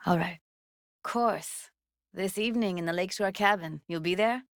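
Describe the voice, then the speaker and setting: A woman's voice: medium pitch, normal speed, no room sound, clean studio sound, close to an everyday voice. An actress playing a young, streetwise female techie in a video game, blunt and guarded but emotional, speaking in casual, clipped, intimate slang. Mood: dry, casual. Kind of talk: naturalistic video game dialogue acting.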